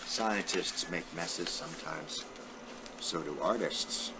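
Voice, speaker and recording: A man's voice: low-pitched, slow, creaky, noisy background. An elderly man reading a children's storybook aloud, talking directly to his young listeners as a great-grandfather would. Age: 70s or older.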